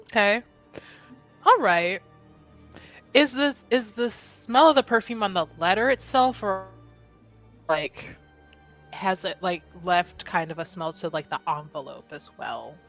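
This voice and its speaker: A woman's voice: medium pitch, expressive, measured pace, pauses often, a bit bright, faint music behind. A woman chatting casually and playfully as a player in a tabletop roleplaying game.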